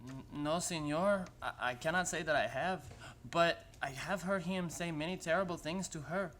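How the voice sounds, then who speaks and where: Man acting a halting, deferential manservant. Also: on the high side, fairly bright tone, a little hiss or hum, lightly acted. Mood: fearful, deferential. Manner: halting, repeating his first words. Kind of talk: radio play acting.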